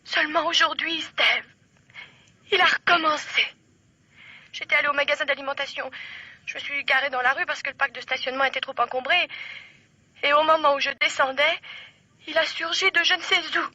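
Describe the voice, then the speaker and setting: A woman's voice: sits high, fairly even intonation, a little fast, pauses often, bright, forceful tone, no echo. An actress playing a terrified young mother and wife, pleading with dramatic hesitations, in old French radio mystery drama style. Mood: frightened.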